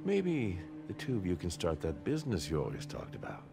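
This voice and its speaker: An actor playing a smug, powerful middle-aged mayor in a video game, patronizing and falsely jovial. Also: male voice, low voice, wildly swooping pitch, a little fast, creaky, full character voice. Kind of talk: video game cinematic acting. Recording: music behind the voice.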